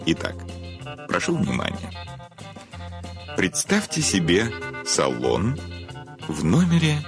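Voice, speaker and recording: An actor in a radio play as a menacing, self-satisfied famous writer, speaking in a mocking, theatrical way with hesitant hums and pauses. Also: male voice, deep voice, huge pitch swings, over music, full character voice. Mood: sly, self-satisfied. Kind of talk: theatrical radio drama acting.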